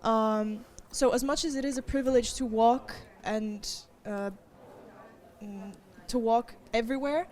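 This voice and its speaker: A young woman student answering interview questions, thoughtful and measured, pausing often. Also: fairly high pitch, darkish tone. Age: young adult. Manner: thoughtful, with frequent pauses and fillers.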